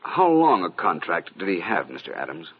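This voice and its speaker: Suave low-voiced radio detective. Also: male voice, wildly swooping pitch, normal speed, breathy edge, exaggerated voice. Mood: coolly probing. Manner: polite, probing, dryly understated. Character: suave, shrewd, courteous gentleman private detective. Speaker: radio drama actor. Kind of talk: old-time radio mystery acting. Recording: dull, muffled old radio recording.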